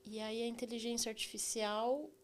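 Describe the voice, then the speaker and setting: Slightly high-voiced Brazilian woman hosting. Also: fairly even intonation, moderate pace, a little hiss or hum. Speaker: podcast host. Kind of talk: casual interview conversation.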